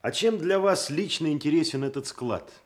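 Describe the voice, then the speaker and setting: An actor playing a polite, curious correspondent asking questions in a radio play. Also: male voice, fairly high pitch, animated, normal speed, natural voice. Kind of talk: Soviet radio play acting.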